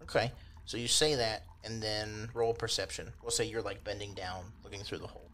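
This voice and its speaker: A man's voice: on the low side, fairly even intonation, fairly quick, sharp tone, slight background noise. A man acting as game master, narrating a tabletop fantasy game in a casual, improvised, conversational way with loose descriptions and asides.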